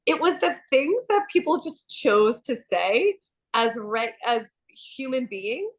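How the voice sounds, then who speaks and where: Bubbly slightly high-voiced woman, a bit nasal. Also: slow. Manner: animated, gossipy, laughing. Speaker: co-host. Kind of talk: casual aftershow chat.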